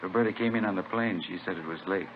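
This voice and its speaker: Through a tinny, hissy old radio recording comes a man playing a trusting new father. His voice is fairly low, and he talks in simple, friendly phrases, proud and eager to oblige. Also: fast talker, natural voice. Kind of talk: 1950s radio drama acting.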